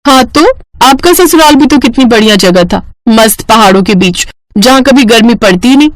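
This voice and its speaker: A woman acts out an excitable young daughter from an animated family story. Her voice sits high and she gushes dreamily about what she wants. It is recorded dry and close. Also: moderate pace, no echo, natural voice. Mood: gushing, dreamy. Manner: gushing, bubbly. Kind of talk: animated moral-story voice acting.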